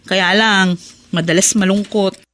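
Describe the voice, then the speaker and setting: A woman's voice: mid-range voice, steady tone, unhurried, fairly bright tone, lightly acted. A woman with a Filipino accent playing a caring, worried grown daughter in a radio drama, earnest and pleading. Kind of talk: Tagalog radio drama acting.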